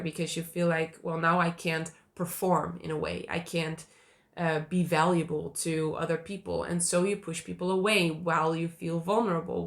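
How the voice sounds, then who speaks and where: A woman with a cold and a hoarse voice, teaching in earnest to camera and reassuring viewers by repeating key points. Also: fairly low pitch. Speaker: attachment style coach.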